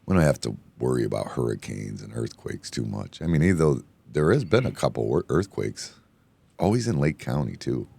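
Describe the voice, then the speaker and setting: A hoarse man hosting a casual bar-talk show, rambling and excitable, often repeating himself. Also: a bass voice, fairly quick. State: hoarse from yelling. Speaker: podcast host. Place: indoor room, bar setting.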